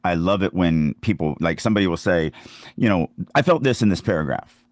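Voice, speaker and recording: A man's voice: low-pitched, lively intonation, a little fast, dry recording, studio quality. A man hosting a podcast and teaching about writing in casual, emphatic, insistent talk. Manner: emphatic, insistent, stresses key words.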